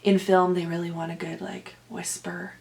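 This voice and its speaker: A young woman hosting her own podcast, an actor with a loud, boomy voice, chatting casually and animatedly, laughing often. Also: level tone, moderate pace, creaky. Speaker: podcast host. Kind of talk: casual solo podcast chat.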